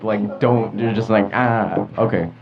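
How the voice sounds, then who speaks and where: Low-voiced joking American teenage boy. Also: a little fast, muffled recording, soft background music. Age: teens. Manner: joking, slangy. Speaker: podcast panelist. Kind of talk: casual banter.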